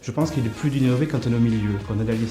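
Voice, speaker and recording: Fast-talking man in a roomy space. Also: mid-range voice. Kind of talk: informal interview opinion, explanatory. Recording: roomy sound with clear music behind.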